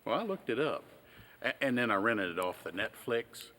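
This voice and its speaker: A man playing a patient, shrewd small-town sheriff in a film, halting and folksy in a deadpan, polite way. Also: medium pitch. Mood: dry, methodical. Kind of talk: naturalistic film acting.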